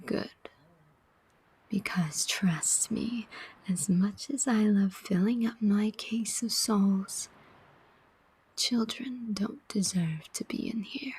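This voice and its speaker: Slow whispering demon soul collector, acted by a woman. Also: mid-range voice, darkish tone, slightly rough, rough recording. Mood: coaxing, bargaining. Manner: slow, deliberate, coaxing whisper with pointed questions. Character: persuasive, sardonic demon collector of souls. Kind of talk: whispered ASMR roleplay.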